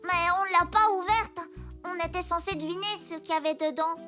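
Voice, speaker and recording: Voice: very high voice, steady tone, a little fast, pushed and bright, soft background music. A performer voicing a curious young zebra child in a children's cartoon, with a keen, pleading manner. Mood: anxious.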